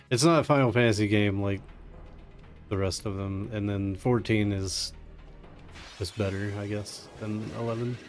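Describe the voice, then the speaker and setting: Low-pitched man, unhurried, gaming chat. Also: fairly even intonation. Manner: jokey, self-deprecating. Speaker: speedrunner playing live. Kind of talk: casual live-stream gameplay chat. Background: game music.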